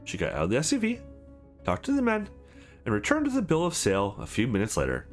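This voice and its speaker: A man's voice: medium pitch, wildly swooping pitch, fast, faint music behind. A man voicing a streetwise young woman driver in a visual novel, frank, scrappy and matter-of-fact. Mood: fond, matter-of-fact.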